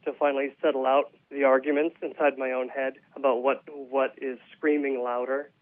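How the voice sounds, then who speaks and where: A man's voice: mid-range voice, level tone, moderate pace. A man being interviewed as a playwright, explaining his thoughts reflectively in a conversational way. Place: phone call to a radio show. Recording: tinny narrow phone line.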